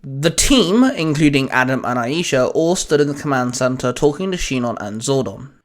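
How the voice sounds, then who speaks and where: A man's voice: medium pitch, very animated, normal speed. A man narrating an audio fan fiction story, reading as the narrator.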